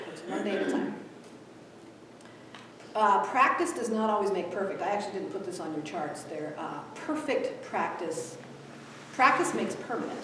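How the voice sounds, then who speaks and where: A woman who grew up in Ethiopia gives a lively conference talk and acts out bits of dialogue as she goes. Her voice is picked up from a distance and rings through a big echoey hall, with a steady hiss of room noise underneath. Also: mid-range voice. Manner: animated, acts out quoted dialogue. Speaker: conference speaker. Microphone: distant room mic.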